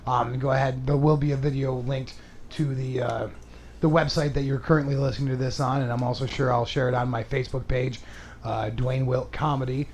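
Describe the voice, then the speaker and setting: A man's voice: medium pitch, flat delivery, brisk, darkish tone, a little hiss or hum. A man hosting a comedy podcast in casual, crude chat, rambling, self-mocking and profane. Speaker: podcast host and stand-up comedian.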